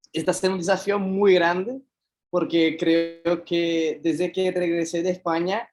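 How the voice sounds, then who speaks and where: A Brazilian man, a native Portuguese speaker, giving an online lecture on environmental engineering in careful, hesitant second-language Spanish. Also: fairly high pitch.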